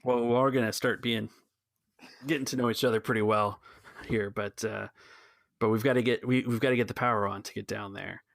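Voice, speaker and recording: A man acting as game master on a tabletop podcast, with a creaky, fairly low voice. He is earnest and halting, recorded close and dry. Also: steady tone, no room sound. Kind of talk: tabletop game roleplay narration.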